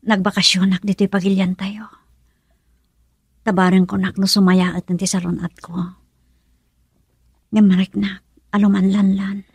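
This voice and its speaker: A woman playing a seriously ill young woman in an Ilocano radio love drama, tender and resigned, speaking softly and haltingly with sorrow. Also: mid-range voice, flat delivery, gravelly, breathy edge, dry recording, studio quality. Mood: sad, confessing. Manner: soft, halting, emotional delivery, often near whisper.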